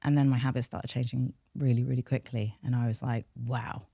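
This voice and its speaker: A woman's voice: extremely low pitch, fairly quick, gravelly, breathy edge, dry recording, studio quality. A woman with a London accent whose first language was Farsi, speaking as an interview guest in a frank, reflective way. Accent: London English. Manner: reflective, frank, steady flow of long sentences. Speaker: podcast guest, behaviour change scientist. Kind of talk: candid interview storytelling.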